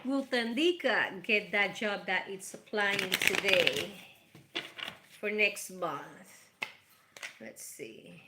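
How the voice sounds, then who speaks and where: A woman's voice: mid-range voice, lively intonation, takes it slowly, very noisy. A woman from Puerto Rico with a Puerto Rican accent and Spanish as her first language, hosting a casual tarot reading and speaking chattily and affectionately to her viewers. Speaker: tarot reader and channel host. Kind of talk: casual live tarot reading with spiritual advice.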